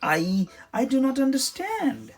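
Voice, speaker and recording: Storybook toy warrior dinosaur, played by a narrator: male, proud, naive, formal, pitched up. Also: very animated, gravelly, breathy edge, far from the mic, exaggerated voice. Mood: confused. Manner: formal, halting when confused.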